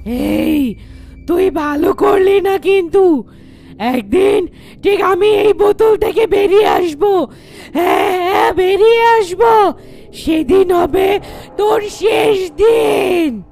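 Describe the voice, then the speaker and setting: A woman voicing a vengeful, menacing female tree ghost in a horror cartoon, with drawn-out, taunting, theatrical delivery. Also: extremely high pitch, vocal fry, darkish tone, soft background music, exaggerated voice. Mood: furious, defiant.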